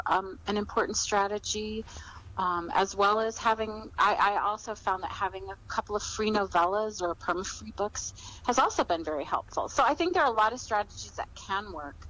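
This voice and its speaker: A woman with an American accent speaking as an author in a podcast interview, explaining things in a measured, conversational way. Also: mid-range voice, fairly bright tone, poor audio.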